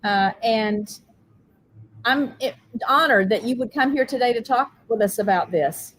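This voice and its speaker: A woman's voice: fairly high pitch, measured pace, fairly bright tone, a little hiss or hum. A woman hosting a podcast interview, introducing her guest in a friendly, casual way. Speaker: podcast host.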